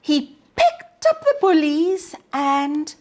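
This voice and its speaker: High-voiced woman reading slowly to children. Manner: deliberate, expressive, addressing young children. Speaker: storytime reader for children. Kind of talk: children's storybook narration.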